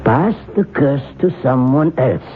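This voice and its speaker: An actor playing a calm male professor who knows about curses, speaking in a measured, dryly amused way in a 1970s radio drama. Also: mid-range voice, natural voice. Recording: tinny old radio broadcast with faint hiss.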